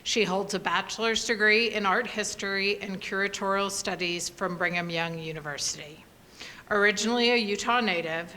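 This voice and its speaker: A woman host giving a formal, measured scripted introduction of a lecture speaker. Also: mid-range voice, sharp tone, a little hiss or hum.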